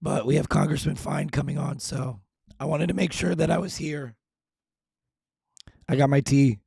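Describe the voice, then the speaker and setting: Hoarse, raspy male live-stream host. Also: normal speed, no echo, clean studio sound. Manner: talking low and hushed to spare his voice; self-deprecating, frequent throat clearing. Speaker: show host. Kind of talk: casual live-stream chat.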